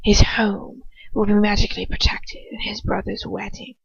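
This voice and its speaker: A woman voicing a cold, menacing male dark wizard in a whispery hiss, slow and calculating, in an amateur audiobook reading. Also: mid-range voice, level tone, vocal fry, husky, heavily acted. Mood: cold, scheming. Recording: roomy, muffled lo-fi home recording.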